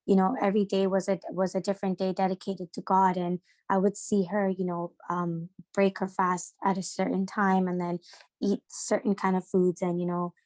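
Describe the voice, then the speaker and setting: A young woman from Sri Lanka, a podcast guest talking casually and haltingly, with many fillers and restarts. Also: medium pitch, flat delivery, darkish tone, dry recording. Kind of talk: casual discussion.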